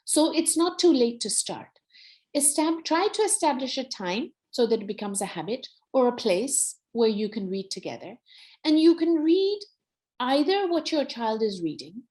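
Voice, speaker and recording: A woman's voice: a little high, lively intonation, moderate pace, darkish tone. A woman with a Nepali accent giving an educational talk in an instructive, encouraging and emphatic way. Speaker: workshop presenter.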